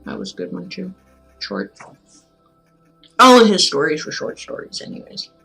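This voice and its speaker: A woman's voice: on the low side, big pitch swings, moderate pace, gravelly, fairly bright tone, faint music behind, poor audio. A woman chatting casually as a livestream host, rambling and musing aloud in an informal way. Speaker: streamer reading stories aloud.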